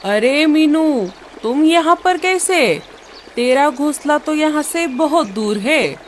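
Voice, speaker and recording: Woman voicing an unhurried, motherly old cartoon bird. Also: sits high, lively intonation, a little hiss or hum, voice pushed up. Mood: concerned. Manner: instructing, reassuring. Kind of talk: cartoon dialogue. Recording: music under the voice.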